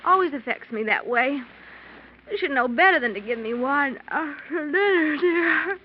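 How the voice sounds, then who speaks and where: An actress playing an affectionate, gently chiding wife in an old-time radio mystery. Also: female voice, high-pitched, lively intonation, moderate pace. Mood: drowsy. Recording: muffled old radio recording, faint noise.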